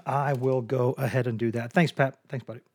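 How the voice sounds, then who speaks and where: A hoarse man hosting a sports podcast, talking casually and often restarting his sentences with repeated words. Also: mid-range voice, steady tone, brisk, dry recording. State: hoarse from a lingering cough.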